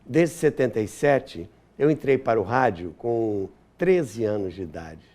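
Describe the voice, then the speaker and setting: Man in his seventies, Brazilian accent, soft warm timbre, swooping expressive pitch, conversational reminiscing. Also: a little slow. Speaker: interview guest, radio veteran and author.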